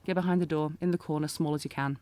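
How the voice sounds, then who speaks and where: A woman's voice: deep voice, steady tone, fast talker, a little mellow, slight background noise, lightly acted. A woman playing a sardonic, protective knight bodyguard in a fantasy audio roleplay, with a taunting, commanding, intense manner. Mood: insistent, tense. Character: sardonic, protective female knight bodyguard, old academy rival. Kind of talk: scripted fantasy audio roleplay, acted one-sided dialogue.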